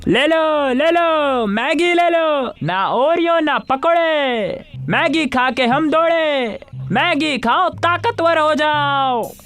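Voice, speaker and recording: A woman voicing a cheeky servant sidekick to a village landlady in an animated story. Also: high voice, extremely slow, soft background music, heavily acted. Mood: hawking.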